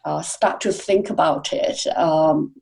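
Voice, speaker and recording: A woman's voice: low-pitched, takes it slowly, gravelly, darkish tone. A middle-aged woman from China, a non-native speaker, talking in an interview as a series editor, with frequent fillers and restarts. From China, Hangzhou. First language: Chinese. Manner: hesitant, many fillers and restarts. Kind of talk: conversational interview.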